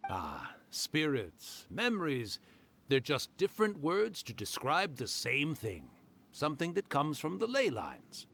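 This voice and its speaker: A mischievous fox yokai from a fantasy game, boastful and theatrical. His voice sits fairly high and swoops freely, lingering on words to tease. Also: wildly swooping pitch, vocal fry, a bit bright, heavily acted. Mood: jaunty boasting. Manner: theatrical, drawn-out, teasing. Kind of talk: video game character acting.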